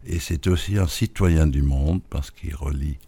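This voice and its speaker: A man interviewing a guest, speaking in a flowery, effusive and deliberate way. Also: extremely low pitch, warm tone, slightly rough, no echo. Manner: flowery, effusive praise with slow deliberate delivery. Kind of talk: formal radio interview.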